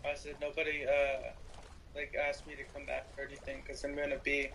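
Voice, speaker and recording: A man's voice: medium pitch, completely flat delivery, fairly quick, gravelly, bright, forceful tone, a little hiss or hum, rough recording. A man chatting casually with friends while playing a game. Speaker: player in a Minecraft roleplay group. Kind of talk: casual gaming chat.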